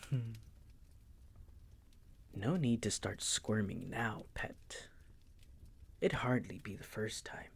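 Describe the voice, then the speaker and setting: A man playing a possessive, suave vampire master in an intimate audio roleplay, hushed and breathy, with a silky, teasing, condescending manner. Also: fairly low pitch, takes it slowly, pauses often, exaggerated voice. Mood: menacing, seductive.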